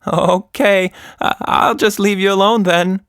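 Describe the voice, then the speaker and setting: Man doing a shy little girl, pitched up. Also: steady tone, a little slow, a bit bright, clean tone, no echo, clean studio sound. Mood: nervous. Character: shy lonely young girl, gentle and curious. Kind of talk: children's storybook character voice.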